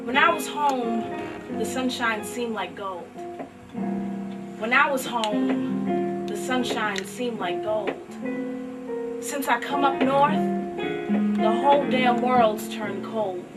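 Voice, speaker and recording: A woman dramatically reading verse as a world-weary poor young man, in a drawn-out, half-sung blues delivery. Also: fairly high pitch. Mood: mournful. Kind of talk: dramatic poetry reading over piano. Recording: distant mic, loud piano music.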